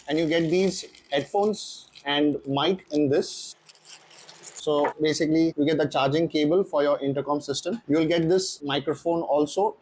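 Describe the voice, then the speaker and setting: A man's voice: a little high, a little mellow, slightly rough. A man presenting a casual vlog walkthrough, explaining things step by step. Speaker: motorcycle vlogger and presenter. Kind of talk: casual unboxing and installation walkthrough.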